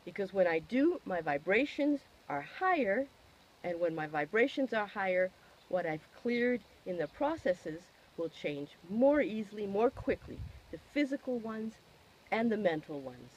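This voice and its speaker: A woman giving a personal testimonial in an expressive, emphatic way, posing questions and answering them herself. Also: mid-range voice, a little slow, slight background noise, poor audio.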